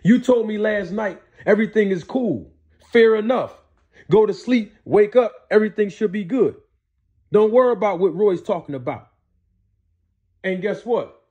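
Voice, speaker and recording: A man's voice: high voice, darkish tone, slightly rough, highs cut off. A man giving explanatory storytelling commentary as a host, emphatic, repeating his points and posing rhetorical questions. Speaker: commentator hosting a boxing commentary show.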